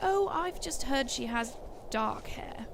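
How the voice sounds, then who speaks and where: A woman's voice: high voice, lively intonation, takes it slowly, creaky, voice pushed up, heavily acted. A woman voicing a bold, sly, playful young princess in disguise for a storybook audio drama, on a noisy recording. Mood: sly, hushed. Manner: playful, enthusiastic.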